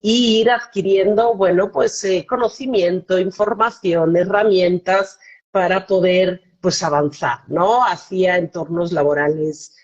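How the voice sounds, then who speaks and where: A woman's voice: lowish voice, fairly even intonation, measured pace, darkish tone, dull audio. A Swiss-born woman whose Spanish carries a Mexican-tinged accent, speaking as a guest in a live online interview, hesitant, with many fillers and restarts. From Switzerland. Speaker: foundation director, guest.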